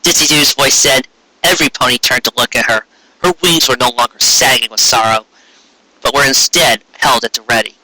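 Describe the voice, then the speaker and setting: A man reading a story aloud in an expressive live style, with a casual way of talking. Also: medium pitch, normal speed, no echo, low-quality recording. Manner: expressive, acting out character dialogue. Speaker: reader of fan fiction.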